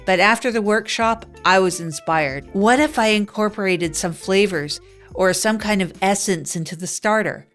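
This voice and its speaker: A woman narrating as a wry, down-and-out woman in an improvised comedy audio drama, rambling deadpan with self-mocking asides. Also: mid-range voice, normal speed, faint music behind, close to an everyday voice. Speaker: narrator of the show. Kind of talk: improvised comic first-person narration.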